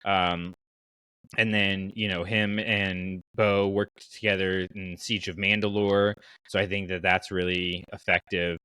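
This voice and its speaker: A man hosting a casual fan podcast, talking in an animated, rambling way as he thinks aloud. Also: deep voice, a little slow, clear, resonant tone, no room sound, rough recording. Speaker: podcast host.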